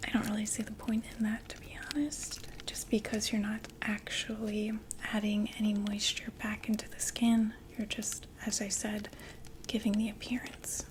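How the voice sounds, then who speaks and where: A young woman playing a female vampire door-to-door makeup saleswoman in an ASMR roleplay, whispering slow, gentle, chatty sales talk. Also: mid-range voice, little pitch movement, close to an everyday voice. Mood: soft, soothing.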